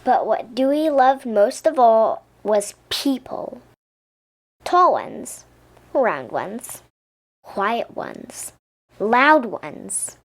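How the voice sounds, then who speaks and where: A woman narrates a picture book for children in a high voice. Her delivery is very animated and unhurried, shaping each phrase for young listeners. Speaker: storybook reader. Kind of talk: expressive children's story narration.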